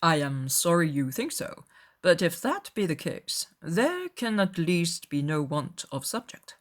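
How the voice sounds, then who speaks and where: A proud young gentleman of a period novel, played by a woman. The speech is formal, measured and held back, in a lowish voice suited to a dramatized audiobook. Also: very animated. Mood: reserved, serious.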